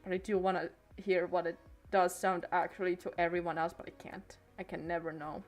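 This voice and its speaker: A woman's voice: fairly low pitch, level tone, a little fast, faint music behind. Hesitant teenage girl rambling on stream. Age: late teens. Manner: hesitant, many restarts and trailing thoughts. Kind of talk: casual rambling stream chat. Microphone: desk microphone.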